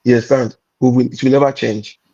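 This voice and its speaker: Gravelly Nigerian man, emphatic storyteller. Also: mid-range voice, steady tone, fast talker, mellow, dull audio. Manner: emphatic, repetitive, mixes in Nigerian pidgin. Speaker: comedian. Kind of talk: candid conversational chat.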